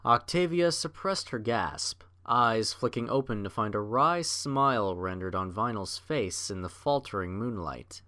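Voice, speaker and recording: A man narrating a scripted story as an audiobook reader, in measured literary storytelling. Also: lowish voice, animated, pushed and bright.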